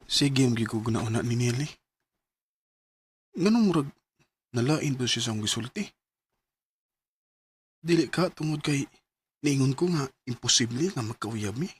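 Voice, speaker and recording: A man's voice: mid-range voice, lively intonation, normal speed, pauses often, slight background noise, lightly acted. A man playing a cocky, easily flustered young student in a radio drama, with a Cebuano Filipino accent. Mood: puzzled.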